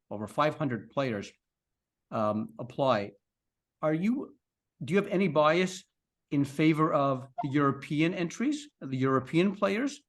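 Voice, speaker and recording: A man interviewing guests on a podcast. He asks long, chatty questions and stammers through restarts. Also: mid-range voice. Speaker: podcast interviewer, league co-founder.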